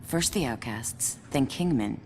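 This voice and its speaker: Very low-voiced tough soldier. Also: female voice, lively intonation, unhurried, darkish tone, slight background noise, heavily acted. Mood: wary. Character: cool, tough female soldier. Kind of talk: game cutscene acting.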